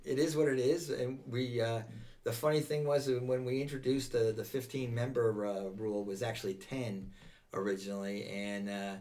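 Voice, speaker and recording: A man's voice: mid-range voice, fairly even intonation, moderate pace, a little mellow, distant mic. An older man with an American accent chatting casually as an interview guest, often repeating and reworking his phrases. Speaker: interview guest, veteran volunteer firefighter. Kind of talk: casual reminiscing chat.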